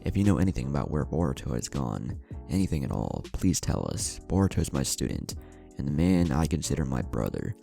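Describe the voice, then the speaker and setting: A man's voice: rumbling low, very animated, brisk, vocal fry, warm tone, full character voice. A man voicing an earnest, polite young male ninja teacher in a narrated story, serious and reassuring, over music. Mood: pleading. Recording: music under the voice.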